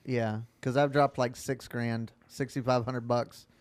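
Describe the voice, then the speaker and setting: A man's voice: mid-range voice, little pitch movement, a little slow, no echo. A man in his thirties co-hosting a podcast, explaining things in a matter-of-fact way and swearing casually. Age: 30s. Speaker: podcast co-host. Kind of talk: casual chat.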